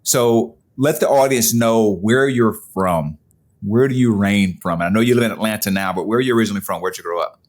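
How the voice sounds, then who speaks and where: A man's voice: fairly low pitch, brisk. A man hosting a podcast, introducing and interviewing guests in a conversational way. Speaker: podcast host.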